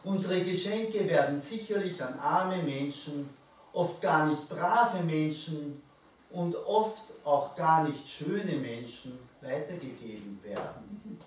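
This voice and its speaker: A man reading the lines of a modest, learned king, in a dry, measured manner. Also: fairly high pitch, expressive. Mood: wry. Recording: roomy reverb, distant mic, faint noise.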